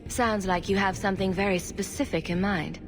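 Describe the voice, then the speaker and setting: A woman playing a composed, diplomatic young heroine in a video game, speaking in a measured, resolute way. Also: mid-range voice, fairly even intonation, lightly acted. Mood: calm, firm. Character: composed, diplomatic young adult female hero. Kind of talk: video game cutscene acting. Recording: game music behind.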